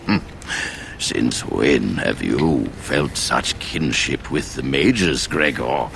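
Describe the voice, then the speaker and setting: An actor playing an elderly, wry and fatherly head mage in a video game, speaking in a gravelly, formal, deliberate way. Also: very deep voice, fairly bright tone, slight background noise, exaggerated voice. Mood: dry, challenging. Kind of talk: measured video game dialogue acting.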